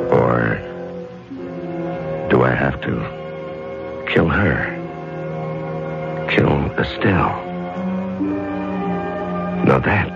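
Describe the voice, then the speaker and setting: A man playing a calm, cold-blooded middle-aged judge who secretly kills, in a 1970s radio drama, smooth and coolly patronizing. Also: very deep voice, full character voice. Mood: brooding. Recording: dull old radio broadcast with music.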